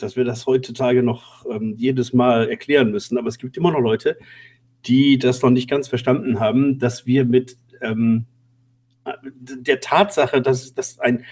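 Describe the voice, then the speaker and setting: A man co-hosting an online talk show, explaining spontaneously and haltingly, repeating words for emphasis. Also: medium pitch, a little mellow, breathy edge. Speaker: co-host. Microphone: online call.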